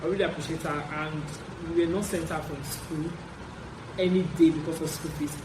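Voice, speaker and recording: A young adult man with a Nigerian accent tells stories casually, in an animated way, repeating phrases for emphasis as a vlogger. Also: fairly high pitch, moderate pace. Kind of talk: casual story-time chat. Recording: roomy echo, clear background noise.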